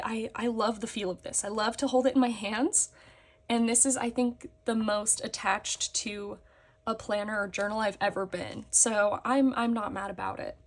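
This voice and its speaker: A young woman, slightly hoarse after a concert, chatting casually as she shows her planners on camera. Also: a little high. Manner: chatty, with fillers and frequent laughs. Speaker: planner vlogger. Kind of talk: casual show-and-tell chat. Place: indoors at a desk, hands on a table.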